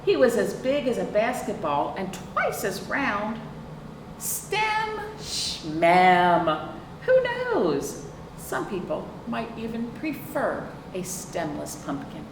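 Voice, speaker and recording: A woman reads a picture book to young children at an unhurried pace, with lively swings in pitch. She is expressive and turns to her listeners with questions. The sound is roomy, picked up from a distance. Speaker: librarian hosting a children's storytime. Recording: roomy, distant microphone, clear background noise.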